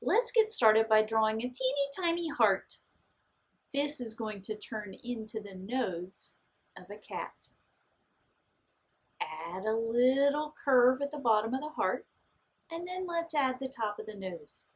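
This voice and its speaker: A woman's voice: fairly high pitch, very animated, measured pace. A woman art teacher guiding children step by step through a drawing and painting lesson, speaking in simple, encouraging, reassuring phrases.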